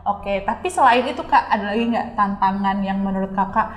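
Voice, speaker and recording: A woman hosting a casual interview, chatty and quick to chime in with agreement. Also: medium pitch. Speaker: podcast host interviewing a guest. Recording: echoey room with long reverb.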